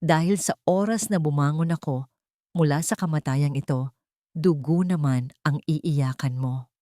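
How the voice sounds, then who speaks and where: A wronged young mother, grieving and set on revenge, as a woman narrator plays her. Her voice is low and mellow, recorded dry for an audio drama. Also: a little slow, no echo. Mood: bitter, vengeful. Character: wronged, grieving young mother back from supposed death, vengeful.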